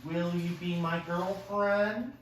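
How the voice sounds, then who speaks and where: A woman's voice: lowish voice, steady tone, slow pace. A woman reading the part of a shy, polite young man courting a fellow patient, carefully, at a musical table read. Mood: shy, hopeful. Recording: very echoey room, distant mic, faint noise.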